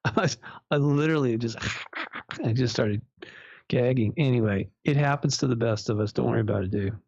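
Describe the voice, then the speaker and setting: Gravelly sixty-something man on a dull line. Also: medium pitch, moderate pace, no room sound. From Los Angeles. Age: 60s. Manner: animated, stammering restarts, laughs often. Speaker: radio show guest. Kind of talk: casual radio interview.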